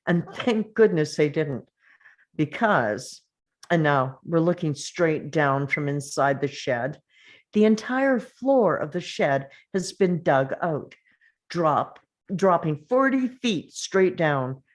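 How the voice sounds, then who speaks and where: A woman narrating the action lines of a screenplay at a table read, steady and measured. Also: sits low.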